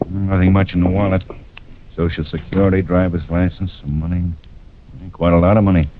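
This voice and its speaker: An actor playing a gruff, no-nonsense police captain giving orders in an old-time radio crime drama. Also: male voice, low-pitched, level tone, brisk, a little hiss or hum, lightly acted. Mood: brisk. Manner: brusque, authoritative. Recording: tinny, narrow-band old radio recording.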